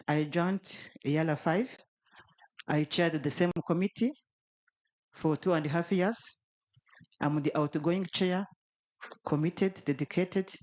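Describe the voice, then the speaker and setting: A woman's voice: sits low, a little mellow. A middle-aged woman legislator formally introducing herself and listing her roles at a policy dialogue.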